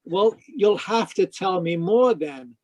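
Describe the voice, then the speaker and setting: A man's voice: high-pitched, lively intonation, takes it slowly, a little mellow, dull audio, heavily acted. An actor playing a wry, inquisitive male private detective in a radio drama, telling his story in hard-boiled style. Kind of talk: radio detective drama, first-person narration and dialogue. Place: live remote performance over video call.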